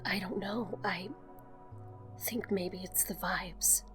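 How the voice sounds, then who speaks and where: A woman playing a grieving, self-doubting young woman in an audio drama, subdued and halting. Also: mid-range voice, steady tone, over music, close to an everyday voice. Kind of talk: naturalistic audio drama dialogue.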